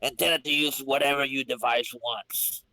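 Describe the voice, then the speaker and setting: A man's voice: mid-range voice, steady tone, moderate pace, pushed and bright, dry recording, rough recording. A man voicing a vengeful, bitter comic-book villain in a terse, dramatic reading. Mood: guarded.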